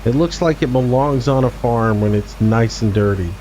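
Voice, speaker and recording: A man's voice: medium pitch, normal speed, mellow, a little hiss or hum, low-quality recording. A man in his forties giving casual, laid-back gameplay commentary as a streamer, chatting back to his viewers. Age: 40s. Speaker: game streamer.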